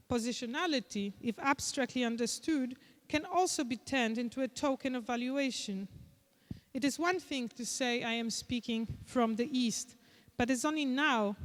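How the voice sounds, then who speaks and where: A woman with a Polish accent, a conference speaker, reading a prepared lecture in a measured, deliberate way. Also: a little high, in a large hall. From Poland. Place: large conference hall.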